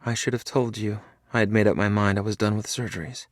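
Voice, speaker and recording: A man's voice: fairly low pitch, fairly even intonation, quick pace, no room sound, clean studio sound, pitched above the performer's own voice, lightly acted. A man voicing a frail, sick young woman who is tearful and pleading.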